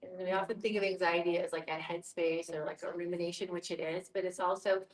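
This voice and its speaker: A woman's voice: on the low side, little pitch movement, fast talker. A woman explaining things informally in a group discussion, peppering her points with "like" and "right". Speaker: guest facilitator, medical social worker. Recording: echoey room, distant from mic.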